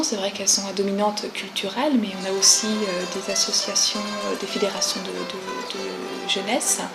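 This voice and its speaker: A woman's voice: medium pitch, gravelly, low-quality recording. A woman coordinating an event, explaining in an interview who takes part.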